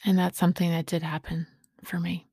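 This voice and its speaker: A woman in her late forties giving a reflective personal monologue as a podcast host, speaking haltingly in short phrases with a breathy, husky voice. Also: lowish voice, flat delivery, warm tone, no room sound, clean studio sound. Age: late 40s.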